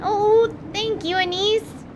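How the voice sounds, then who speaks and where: Excitable, very high-pitched little girl. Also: takes it slowly, background noise. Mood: excited, delighted. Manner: wide-eyed, gushing, exclaiming. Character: excitable young girl, apprentice magician, full of wonder. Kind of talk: fantasy audio drama acting.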